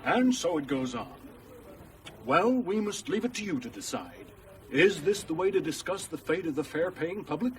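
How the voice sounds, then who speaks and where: High-pitched, probing radio presenter. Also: male voice, sing-song, moderate pace, distant mic. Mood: pointed, formal. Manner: formal, insistent. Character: pushy, probing male American current affairs radio presenter. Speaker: actor in a radio drama. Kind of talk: radio drama acting as a live broadcast presenter.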